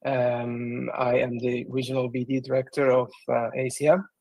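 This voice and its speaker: A man's voice: mid-range voice, level tone, normal speed, vocal fry, a little mellow, no echo. A man from Turkey, a project's regional business development director, speaking as a guest in a casual livestream interview and explaining with many ums and uhs.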